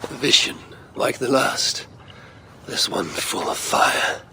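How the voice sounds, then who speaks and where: A man playing a brooding Viking warrior in a video game, grave and measured, with a gravelly voice that turns breathy when quiet. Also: medium pitch, expressive, slight background noise, exaggerated voice. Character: brooding male Viking warrior haunted by visions. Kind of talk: dramatic video game cutscene acting.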